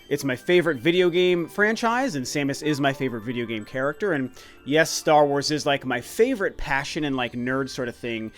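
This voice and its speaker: A man's voice: on the high side, a bit bright. A man in his late thirties hosting a video game channel, talking casually and eagerly, rambling with restarts and fillers. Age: 30s. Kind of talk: casual vlog talk.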